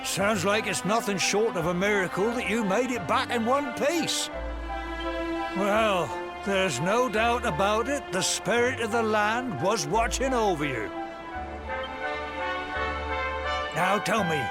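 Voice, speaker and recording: An actor playing a kindly elderly village elder in a video game, folksy and measured in manner. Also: male voice, high-pitched, expressive. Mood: fond, relieved. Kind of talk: scripted video game voice acting. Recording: loud music under the voice. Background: loud music.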